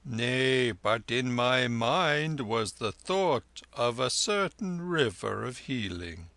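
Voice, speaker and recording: A man reading aloud, voicing a gentle, weary old holy man who speaks slowly and deliberately. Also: medium pitch, expressive, dry recording, heavily acted. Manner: slow, weary, measured.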